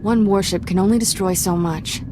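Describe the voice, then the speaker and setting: A woman playing a brisk, capable young Jedi apprentice in a video game cutscene. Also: medium pitch, steady tone, noisy background, lightly acted. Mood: subdued.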